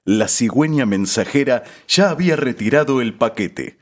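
A man's voice: mid-range voice, lively intonation, moderate pace, lightly acted. A man playing a grandiose, mock-solemn narrator of a parody radio drama.

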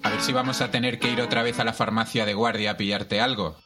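A man's voice: fairly low pitch, steady tone, quick pace, music in the background, close to an everyday voice. A man playing a practical, wry young musician in an audio drama, talking casually in slang. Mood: wry, confident.

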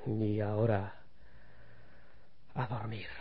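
An actor playing an arrogant, gloating crime boss in an old radio serial, with a husky voice and an imperious manner. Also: male voice, lowish voice, flat delivery, slow, highs cut off, background noise. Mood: smug, drowsy. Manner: imperious, self-satisfied.

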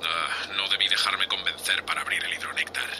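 A man's voice: low voice, flat delivery, fairly quick, creaky, background noise. A man with a Spain Spanish accent playing a foul-mouthed, exasperated spaceship captain who scolds curtly in a sci-fi audio drama. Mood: irritated.

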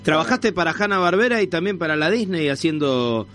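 Argentine dude hosting radio, fairly high voice, chatty and informal, faint music under him. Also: male voice, lively intonation, brisk, fairly bright tone. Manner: informal, interjecting. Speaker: radio host. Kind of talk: casual radio interview chat.

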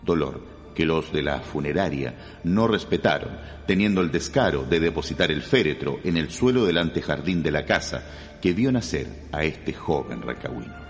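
A man's voice: rumbling low, lively intonation, moderate pace. A Chilean man narrating a true-crime radio drama in a solemn, ominous manner. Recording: long reverb, music underneath.

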